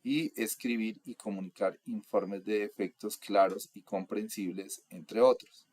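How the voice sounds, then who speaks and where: A young adult man from Colombia, explaining course material in a steady, methodical lecture. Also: fairly low pitch, unhurried. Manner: steady, methodical, lists items one by one. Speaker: instructor.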